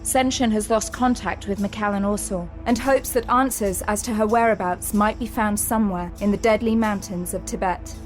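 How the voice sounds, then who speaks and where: A woman's voice: mid-range voice, steady tone, moderate pace, few pauses, soft background music. A woman narrating an audio drama with scripted, dramatic storytelling delivery.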